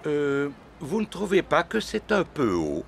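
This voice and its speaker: A man's voice: fairly high pitch, huge pitch swings, a little slow, vocal fry, exaggerated voice. A man in a French cartoon dub voicing a good-natured, hesitant father pig. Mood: nervous. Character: timid, good-natured daddy pig afraid of heights.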